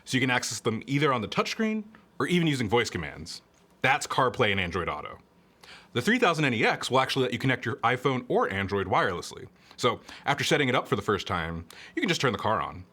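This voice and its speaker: A man with a bright, lively voice presents a scripted product review. His intonation moves up and down freely as he explains features. He talks directly to the viewer in a conversational, instructive way. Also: mid-range voice, fast talker. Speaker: product reviewer and presenter for a car audio retailer.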